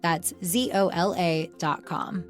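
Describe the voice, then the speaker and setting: Lowish-voiced woman in a commercial. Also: fairly even intonation, slow. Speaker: advertisement voice-over. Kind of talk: scripted commercial read. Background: music.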